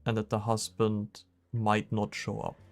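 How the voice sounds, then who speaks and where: A game streamer talks casually in a mid-pitched voice with music playing underneath. The delivery is jokey and conversational, thinking aloud while playing. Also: monotone, measured pace.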